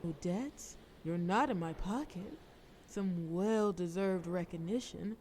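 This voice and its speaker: A woman's voice: lowish voice, slow, a little mellow, slight background noise. A woman playing a cunning, flirtatious mafia boss in a scripted audio roleplay, sultry, persuasive and teasing, with cool threat. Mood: coaxing, menacing.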